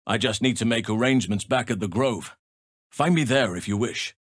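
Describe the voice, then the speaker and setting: An actor playing an earnest, battle-seasoned druid and protector of nature in a fantasy video game, speaking in a measured, solemn manner with formal, old-fashioned phrasing. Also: male voice, mid-range voice, no echo, clean studio sound, natural voice. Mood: grave, earnest.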